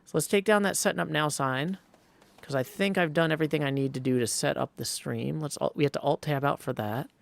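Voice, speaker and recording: A man's voice: on the high side, brisk, vocal fry, no echo. A man hosting a livestream with casual, rambling game commentary, addressing viewers and reading text aloud. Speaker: livestream host and gamer. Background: video game sound.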